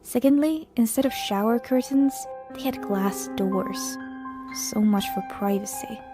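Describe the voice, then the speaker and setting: A woman's voice: a little high, a little slow. A woman reading a scripted scary story in the first person, as a narrator. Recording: loud background music. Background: music.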